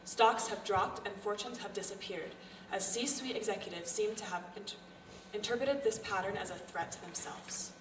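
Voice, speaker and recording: A woman playing an earnest newscaster at a live table read, formal and slightly halting. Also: mid-range voice, close to an everyday voice. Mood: serious. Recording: echoey, distant, clearly noisy.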